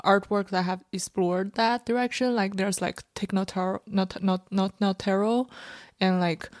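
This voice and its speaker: A woman's voice: mid-range voice, no room sound, clean studio sound. A woman comedian whose first language is Chinese, talking frankly and loosely in a podcast interview, with many filler words. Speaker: stand-up comedian guest. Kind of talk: casual interview talk. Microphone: podcast microphone.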